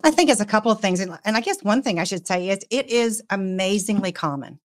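A woman speaking as a psychologist guest, explaining things conversationally and in a measured way on a panel. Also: mid-range voice, animated.

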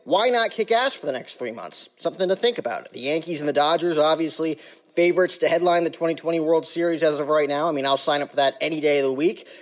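A man hosting a sports podcast, talking about baseball in an energetic, hyped way with casual slang. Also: a little high, fast talker. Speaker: podcast host. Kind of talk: casual podcast intro and interview.

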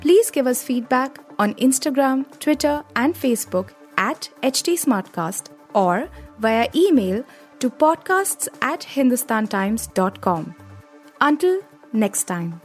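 A woman's voice: sits high, expressive, takes it slowly, soft background music. A woman presents a news podcast with scripted intro and sign-off lines.

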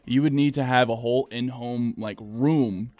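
A man's voice: medium pitch, steady tone, moderate pace, a little mellow, no room sound. Man, podcast panelist, dry close sound, emphatic, repeats himself, casual discussion, music behind. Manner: emphatic, repeats phrases while making a point.

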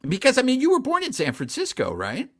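A man's voice: very high-pitched, huge pitch swings, fairly quick. A man hosting a podcast, asking a guest casual questions in an upbeat, friendly way. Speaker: podcast host.

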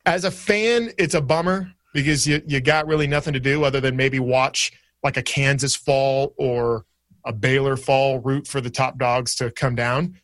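A man's voice: mid-range voice, steady tone, normal speed, a bit bright, dry recording. A man co-hosting a casual sports podcast, chatty and jokey as he steers the segments. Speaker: podcast co-host.

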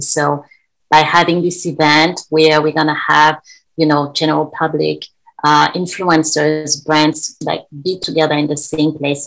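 A woman from Senegal with a notably low voice talks as a guest in an interview. She is chatty and eager, and her stories run along easily. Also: poor audio. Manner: chatty, enthusiastic storytelling. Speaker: guest, event co-founder. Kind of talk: casual interview talk. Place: lives in Korea.

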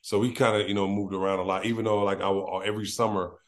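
A man from Los Angeles hosting a casual interview, talking in a laid-back, slangy way with a deep voice and ready laughter. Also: level tone, fast talker. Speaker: podcast host.

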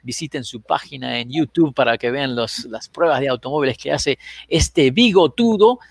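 A man hosting a casual show over a video call, animated, quick to cut in on his guests and often restarting his words. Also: mid-range voice, gravelly, slightly rough, dry recording. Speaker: host. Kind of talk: casual talk show chat.

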